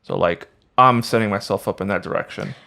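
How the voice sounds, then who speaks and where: A man co-hosting a podcast, chatting casually in halting sentences with restarts and fillers. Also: lowish voice, vocal fry, pushed and bright. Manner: halting, restarts, many "like"s and "you know"s. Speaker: podcast co-host. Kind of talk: casual chat.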